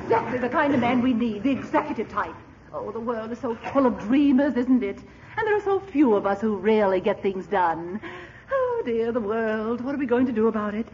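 Sugary, bossy committee lady, high and swooping, fluttery flattery, muffled vintage radio comedy. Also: normal speed, noisy background. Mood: gushing. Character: gushing, flattering, managing society committee chairwoman. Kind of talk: old-time radio comedy acting.

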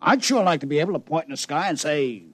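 An actor playing a brash, streetwise American casino owner who talks in slangy wisecracks, in old-time radio drama style. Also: male voice, on the high side, animated, quick pace, fairly bright tone, breathy edge, no room sound. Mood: matter-of-fact, friendly.

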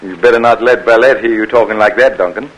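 An actor playing a genial older Southern plantation master in a 1940s radio drama. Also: male voice, lowish voice, quick pace, lightly acted, poor audio.

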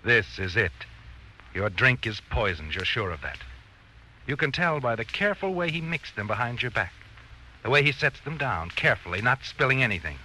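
An actor playing a knowing, ominous narrator in an old radio suspense drama, dramatic and insinuating in second person. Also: male voice, medium pitch, very animated, moderate pace, sharp tone, a little hiss or hum, rough recording. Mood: tense, insinuating. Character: knowing, ominous narrator addressing the guilty man as you.

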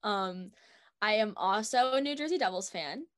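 A woman's voice: mid-range voice, expressive, normal speed, fairly bright tone. A young woman from North Carolina co-hosting a podcast, telling stories in a chatty, giggly way full of fillers. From North Carolina, USA. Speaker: podcast co-host. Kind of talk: casual podcast storytelling.

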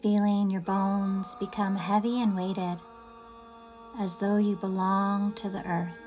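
A woman guides a relaxation practice at a very slow pace, leaving long pauses between phrases. Her voice is medium in pitch and her manner is gentle and soothing. Faint music plays behind her. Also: level tone. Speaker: yoga nidra guide. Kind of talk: guided meditation narration.

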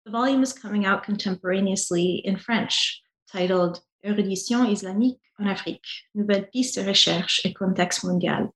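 Woman host in a roomy echo. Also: mid-range voice. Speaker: podcast host. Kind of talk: scripted podcast introduction.

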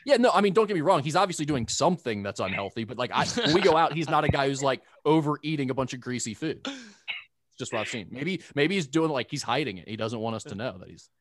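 A man's voice: mid-range voice, very animated, fast talker, no room sound. A man who calls games, guesting on a casual podcast, talking in a rambling, self-deprecating way. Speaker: sports broadcaster guest.

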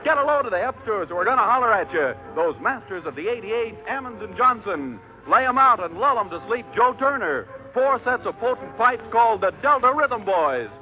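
Male 1940s radio variety announcer, high register, showy hepcat patter, narrow vintage broadcast sound. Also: sing-song, normal speed, few pauses. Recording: tinny band-limited old radio recording, music behind.

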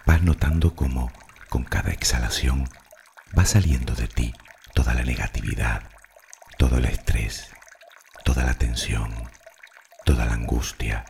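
A man with a rock-bottom, mellow voice leads a guided meditation. He delivers affirmations slowly and soothingly, leaving long pauses. His tone is dark and gravelly. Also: extremely low pitch, fairly even intonation, a little hiss or hum.

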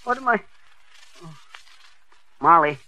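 Flustered American husband: stammering, very forceful, high, old-time radio comedy bluster. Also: male voice, lively intonation, takes it slowly, heavily acted. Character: flustered, blustering husband, a fast-talking bumbler. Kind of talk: 1940s radio comedy acting.

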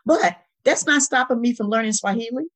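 A woman's voice: fairly high pitch, fairly quick, a bit bright. Emphatic woman speaking reflectively as a guest. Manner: emphatic, reflective, uses repetition and rhetorical questions. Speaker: interview guest. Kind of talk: conversational spiritual and motivational talk.

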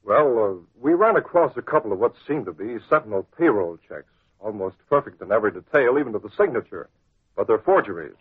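A man playing a brisk, composed newspaper boss with an authoritative, matter-of-fact manner in vintage radio drama. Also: fairly low pitch, expressive, husky. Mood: serious. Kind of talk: 1940s radio acting. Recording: narrow, tinny old radio recording.

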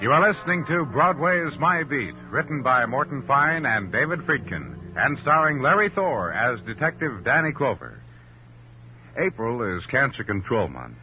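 An American man announcing on a vintage radio programme. His delivery is formal and emphatic, with very lively intonation. The recording is dull, with a faint hum. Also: on the low side. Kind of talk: 1950s radio announcing, scripted.

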